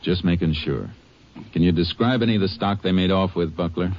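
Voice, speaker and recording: A man acting a calm, methodical Texas Ranger in a 1950s radio drama, terse and matter-of-fact. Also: sits low, a little fast. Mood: businesslike. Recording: muffled, noisy old radio recording.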